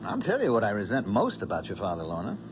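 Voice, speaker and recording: An actor playing a tense, resentful young sweetheart in an old radio drama. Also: male voice, lowish voice, huge pitch swings, quick pace. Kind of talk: 1970s radio drama acting. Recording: noisy, muffled old radio drama recording.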